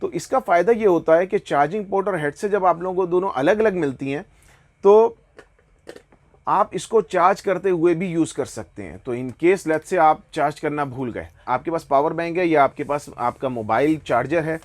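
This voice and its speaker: A man with a Pakistani accent gives a casual product review and sales pitch, explaining enthusiastically and often slipping into English phrases. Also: normal speed. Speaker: online motorbike gear seller presenting products.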